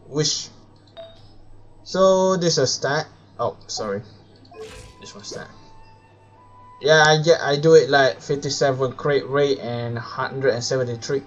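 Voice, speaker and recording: Slow-talking man live streaming a game. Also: medium pitch, muffled recording. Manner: hesitant, rambling, self-correcting. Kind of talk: casual live stream commentary.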